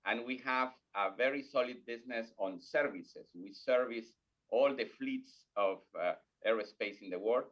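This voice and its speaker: A man's voice: medium pitch, normal speed, dull audio. A middle-aged man from Peru with a Peruvian Spanish accent, a native Spanish speaker, speaking earnestly as a guest in a podcast interview with small grammar slips. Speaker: guest, corporate learning executive.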